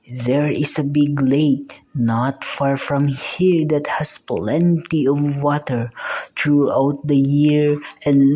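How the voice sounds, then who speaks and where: Puppet show crane, sly schemer, actor's mid-pitched voice, unhurried, grave delivery. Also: mellow, low-quality recording. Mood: reassuring. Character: sly old crane feigning guilt and sorrow, scheming killer. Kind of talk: puppet show storytelling dialogue.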